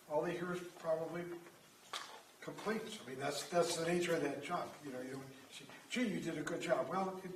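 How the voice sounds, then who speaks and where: A man calmly explaining suggestions at a village meeting. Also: on the high side, slight background noise. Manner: calm, explanatory, measured. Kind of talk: reasoned public comment. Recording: roomy sound, mic far off.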